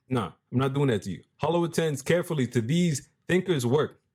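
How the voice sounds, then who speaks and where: Young male host with a deep voice, hyped and casual, slang-heavy, talks over what he reads. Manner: animated, hype-driven, street slang, restarts mid-phrase. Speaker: crypto video host. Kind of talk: casual commentary reading from a web page.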